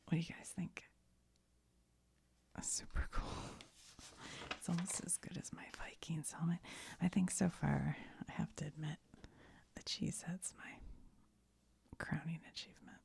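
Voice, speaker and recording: Slow whispering woman with a gravelly edge. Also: deep voice, fairly even intonation. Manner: soft rambling whisper, thinking aloud. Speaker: ASMR video maker. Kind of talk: whispered ASMR craft chat.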